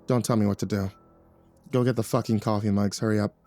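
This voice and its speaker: A man's voice: lowish voice, steady tone, fast talker, dark tone, faint music behind, lightly acted. A man voicing a brash, foul-mouthed tough woman in an audiobook, barking and sardonic, with scornful teasing. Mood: threatening. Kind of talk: audiobook character voice.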